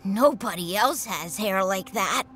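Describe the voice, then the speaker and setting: A woman's voice: mid-range voice, expressive, a little slow, fairly bright tone. An actress voicing a naive, sulky little boy in a video game, childlike and hesitant. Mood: doubtful, shy.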